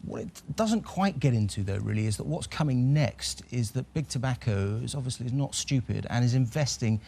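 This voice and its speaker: A man appearing as a guest on a television newspaper review, talking in a measured way with hesitant fillers. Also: medium pitch, lively intonation, creaky, warm tone. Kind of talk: casual studio discussion. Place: television studio.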